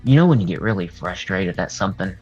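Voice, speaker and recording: A man with a husky voice talking candidly and at rambling length as a guest in a casual interview. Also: sits low, expressive, fast talker, darkish tone. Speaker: horror artist and video creator, interview guest. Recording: dull lo-fi sound, music behind. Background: music.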